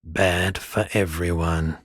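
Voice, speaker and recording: A mellow, philosophical pawnbroker for a video game. His voice is very low and he talks very slowly, with a languid, cryptic, unruffled manner. The recording is close and very dry. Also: level tone, dry recording, full character voice. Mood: calm, businesslike. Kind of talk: scripted game dialogue.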